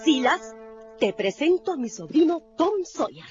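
An actress playing an excitable, affectionate farm aunt who fusses and exclaims in a radio drama. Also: female voice, high voice, measured pace, over music. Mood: fussing. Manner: fussing, exclamatory. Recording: dull lo-fi radio recording.

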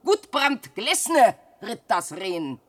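A woman's voice: fairly high pitch, big pitch swings, slow, no echo, exaggerated voice. An actress playing an old peasant widow and mother who scolds and laments in declamatory verse in a radio drama. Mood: indignant. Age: older. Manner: declamatory, nagging and wailing, dramatic swings.

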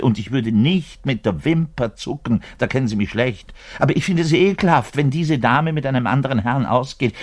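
Actor as husky, volatile, lovesick bass player. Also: male voice, natural voice. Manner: ranting, self-pitying, swinging from muttering to loud outbursts. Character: frustrated, lovesick orchestral double bass player, bitter and envious. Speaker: actor in a radio play monologue. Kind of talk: theatrical radio play monologue addressed to the listener.

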